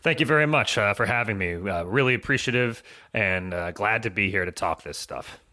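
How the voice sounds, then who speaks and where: A man's voice: on the low side, animated, fairly quick, pushed and bright. A man speaking as a podcast guest, explaining things in a casual, conversational way. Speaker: podcast guest, audio drama writer.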